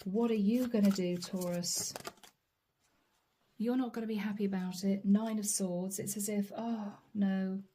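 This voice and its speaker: A woman doing a channeled tarot reading straight to the viewer, earnest and apologetic, her voice going hoarse. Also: mid-range voice, steady tone, measured pace, dark tone. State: losing her voice. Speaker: tarot reader.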